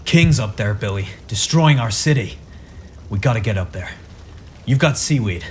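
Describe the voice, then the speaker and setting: A man's voice: fairly low pitch, animated, normal speed, background noise, natural voice. A man playing a weary but encouraging superhero mentor in a radio play. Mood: rousing. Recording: voice over music and storm effects.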